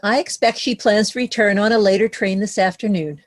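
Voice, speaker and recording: A woman's voice: mid-range voice, fairly quick, close to an everyday voice. A sensible aunt, acted by a woman with a mid-range voice. She delivers scripted lines in a matter-of-fact way, as part of a musical table read. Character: sensible, matter-of-fact aunt who raised her nieces. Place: recorded online.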